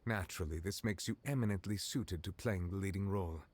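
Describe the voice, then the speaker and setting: An actor playing a formal, courteous official of high rank in a video game, speaking in a measured, polite and reserved way. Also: male voice, a little mellow, slight background noise, lightly acted. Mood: encouraging. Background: music.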